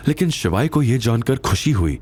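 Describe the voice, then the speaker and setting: A man narrating an audio drama in a scripted, dramatic style with expressive, suspenseful delivery. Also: mid-range voice, moderate pace, slight background noise.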